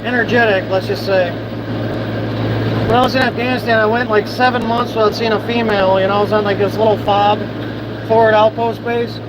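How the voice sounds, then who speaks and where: A man's voice: sits high, fairly even intonation, a little fast. A young man from Michigan, a farmer chatting casually and bluntly with viewers on a livestream from his field, swearing freely as he talks. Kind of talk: casual live chat with viewers. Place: outdoors on a farm, near running machinery. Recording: loud machinery noise behind voice.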